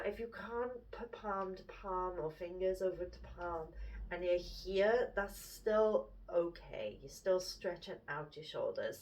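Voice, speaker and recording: Slow, calm woman guiding yoga from afar. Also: on the low side, far from the mic, poor audio. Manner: calm, gently encouraging cues, drops to a whisper at times. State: stuffy-nosed from hay fever. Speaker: yoga instructor. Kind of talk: guided yoga instruction.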